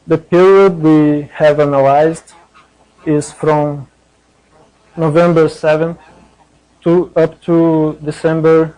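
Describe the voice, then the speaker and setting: A man giving a scientific presentation in a hesitant, halting way. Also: a little high, flat delivery, dark tone, low-quality recording. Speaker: conference presenter.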